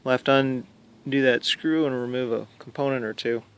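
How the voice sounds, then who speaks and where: A man's voice: mid-range voice, steady tone, fairly quick, clean tone. A man giving a casual, plain-spoken how-to narration, explaining a hands-on DIY install step by step. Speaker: hobbyist demonstrating a DIY car install.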